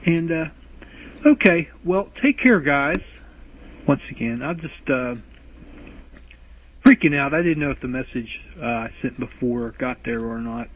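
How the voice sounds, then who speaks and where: Rambling guy on a scratchy phone message, husky voice, keeps restarting. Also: mid-range voice, animated, a little slow, long pauses. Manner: rambling, self-interrupting, anxious about a lisp. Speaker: caller leaving a voice message. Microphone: phone voicemail recorder. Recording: tinny phone-line voice message.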